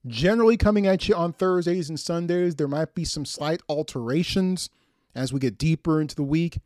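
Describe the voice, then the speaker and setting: An American man hosting a sports podcast, giving preview commentary to listeners in emphatic, deliberate phrases. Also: fairly high pitch, darkish tone, no room sound, studio quality.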